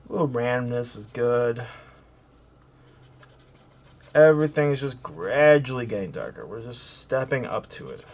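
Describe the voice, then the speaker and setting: A man hosting a coloring tutorial, talking casually and softly as he works, thinking aloud with fillers and restarts. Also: medium pitch, moderate pace, creaky. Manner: relaxed, thinking aloud while working, with fillers and self-corrections; soft-spoken, hoping to sound calming. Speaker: art tutorial host.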